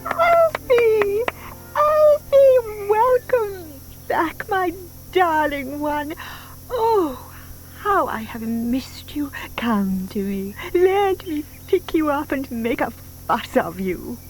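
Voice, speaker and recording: A woman's voice: high voice, sing-song, very slow, a little hiss or hum, full character voice, rough recording. An actress playing a doting, sentimental older woman who coos over her pet in an audio drama, with gushing, emphatic delivery. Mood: adoring, cooing.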